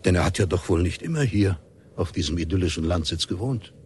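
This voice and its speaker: An actor playing an urbane state commissioner, formal and courteous, interpreting in a radio drama. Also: male voice, low-pitched, lively intonation, brisk, a little mellow, slight background noise. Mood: brisk, official.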